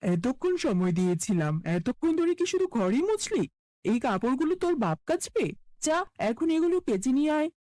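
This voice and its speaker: A woman voicing a cruel, scolding middle-aged mother in a cartoon fairy tale, speaking with sharp contempt. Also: high voice, sing-song, moderate pace, no echo, studio quality. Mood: irritated.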